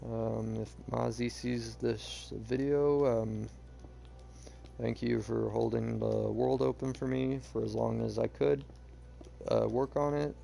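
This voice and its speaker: Young man rambling hoarsely while gaming. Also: mid-range voice, fairly even intonation, slow pace, dark tone, soft background music. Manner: rambling, hesitant, many fillers. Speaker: streamer. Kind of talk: casual gameplay chat.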